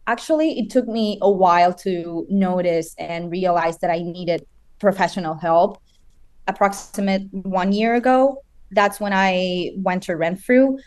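A woman in her twenties from Chile, a guest in an interview, speaking earnestly with halting restarts. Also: on the low side, darkish tone, clear, resonant tone, no echo. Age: 20s.

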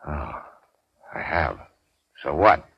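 Very deep-voiced, deadpan gangster. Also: male voice, slow, gravelly, husky, no room sound, exaggerated voice. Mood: defiant, deadpan. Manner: terse, slangy, deadpan. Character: weary hard-boiled gangster, wounded, sardonic and unafraid. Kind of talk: 1940s radio drama acting. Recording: dull 1940s radio recording.

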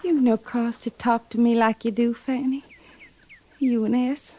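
An actress playing a gentle, weary, pregnant young frontier woman with an American accent, earnest and halting, in an old-time radio drama. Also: a little high, steady tone. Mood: hurt, earnest. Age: young adult. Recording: dull vintage radio recording.

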